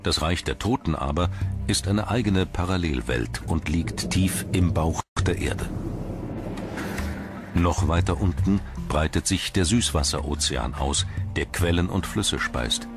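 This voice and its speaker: A man reading scripted documentary narration in a measured, dramatic storytelling manner. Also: deep voice. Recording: music bed behind the voice. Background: music.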